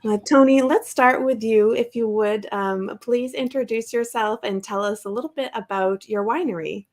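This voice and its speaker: A woman's voice: a little high, moderate pace, a little mellow. A woman hosting a podcast, reading a scripted welcome and then chatting with her guests in a friendly, conversational way. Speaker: podcast host.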